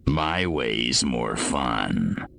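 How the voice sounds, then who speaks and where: A man voicing a cocky, trigger-happy little alien invader in a video game, gravelly and wisecracking with a sardonic drawl. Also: very deep voice, lively intonation, drawn-out words, a bit bright, a little hiss or hum, exaggerated voice. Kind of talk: video game cutscene acting.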